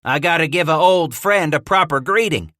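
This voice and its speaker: A loud, rowdy mountain man, put on by a male narrator, shouting his boasts high and hard in a backwoods drawl. The voice sits well above the narrator's own, bright and pushed. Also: moderate pace, no room sound, clean studio sound. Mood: grumbling.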